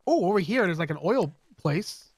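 A man's voice: high voice, animated, normal speed, breathy edge, dry recording. A man bantering playfully with friends during a multiplayer game, game vehicle noise behind him. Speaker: gamer in group roleplay. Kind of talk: casual joking gameplay banter. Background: game vehicle sounds.

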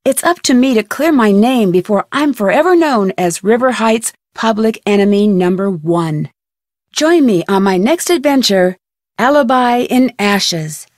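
A woman's voice: mid-range voice, expressive, unhurried, a little mellow, no echo, studio quality, lightly acted. A woman playing a plucky young detective, narrating an adventure game trailer in an earnest, dramatic way. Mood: earnest, determined. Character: plucky young female detective introducing her next case.

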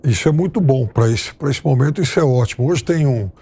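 A man's voice: medium pitch, brisk, gravelly, warm tone, slightly rough. A middle-aged man commenting on a TV news panel in a studio, thoughtful and hesitant with restarts. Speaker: political commentator.

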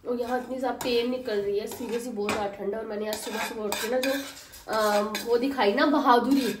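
High-voiced woman vlogging from home. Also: steady tone, brisk, roomy sound, noisy background. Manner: chatty, complaining, scolds her kids. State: has a cold. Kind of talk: casual family vlog chat. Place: home kitchen and bedroom. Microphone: phone camera.

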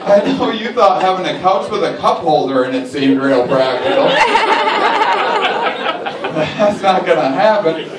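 A man's voice: on the high side, animated, measured pace, long pauses, very noisy. A man in his thirties doing a stand-up set on a small club stage, wry and mocking, slipping into silly voices for jokes, recorded from an audience table. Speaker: stand-up comedian. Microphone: handheld mic, filmed from an audience table. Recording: echoey club audio from a distance, loud crowd laughter.

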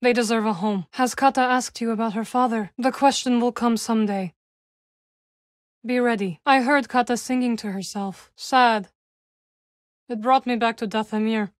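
A woman playing a solemn, guarded young witch warrior in a video game, dryly wry, with measured, formal phrasing. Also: fairly high pitch, steady tone, no echo, studio quality, lightly acted. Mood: calm, reflective. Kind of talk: video game character dialogue.

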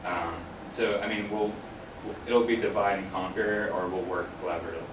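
Low-voiced man, roomy distant recording. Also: fairly even intonation, normal speed. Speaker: guest speaker, UX researcher. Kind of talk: informal career talk to a class, answering questions. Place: small classroom, indoors. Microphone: distant camera microphone. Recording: roomy, distant mic, clear background noise.

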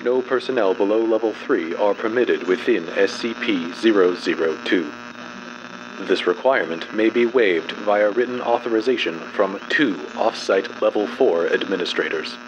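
A man narrating a horror fiction file as a dramatic reading, in a formal, clinical, measured delivery. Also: lowish voice, background noise.

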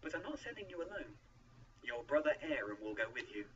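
A man's voice: on the high side, sing-song, fairly quick, a bit bright, recorded from a distance, muffled recording, a little hiss or hum. A man voicing a divine being, solemn, commanding and reassuring, in a dramatized Bible story.

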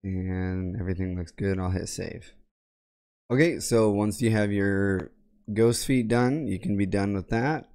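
A young man talks through a software tutorial in a low, raspy voice, because he is sick. He gives plain, methodical steps and clears his throat often. He is recorded at home on a boom mic with a headset. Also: unhurried. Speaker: tech tutorial creator. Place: home gaming room, indoors. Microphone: boom mic on arm with headset.

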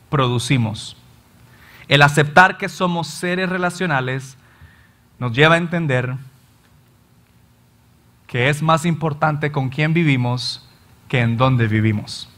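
A Salvadoran pastor in his thirties preaching an animated sermon from a church stage, often acting out his listeners' complaints. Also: on the high side, slow pace, long pauses. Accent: Salvadoran Spanish. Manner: animated, gestures widely, acts out listeners' complaints. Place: church stage in front of a large screen.

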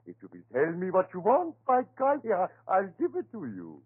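A high-voiced, chatty German butcher. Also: male voice, huge pitch swings, normal speed, slightly rough, heavily acted. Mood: puzzled, placating. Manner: garrulous, good-natured, broken English. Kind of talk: 1930s radio drama acting. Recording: old tinny 1930s radio recording.

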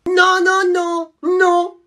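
A man's voice: very high-pitched, monotone, bright, forceful tone, voice pushed up, heavily acted. A young man playing a whiny, spoiled rich teenage boy in a comedy sketch. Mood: wailing. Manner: whiny, drawn-out.

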